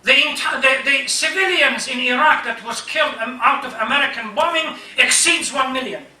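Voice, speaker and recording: A man, a native Persian speaker, delivering an impassioned, emphatic religious lecture as an imam, halting at times and posing rhetorical questions. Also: extremely high pitch, bright, forceful tone. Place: echoey hall, speaking to a congregation. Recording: roomy distant mic, faint noise.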